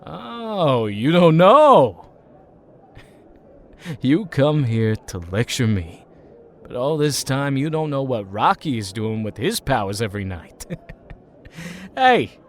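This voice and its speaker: A man's voice: fairly high pitch, sing-song, slow, pauses often. A man playing a drunk, bitter and jealous young ex-hero in an audio drama, with taunting, resentful outbursts. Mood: mocking, sneering.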